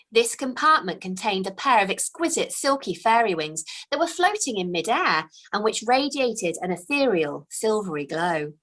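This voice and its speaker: A woman reads a chapter of her own fantasy story aloud for young listeners. Her voice sits in a middle pitch. She keeps the telling slow and expressive, dropping to a hush for the suspenseful parts. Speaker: author reading her book aloud. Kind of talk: storybook read-aloud narration.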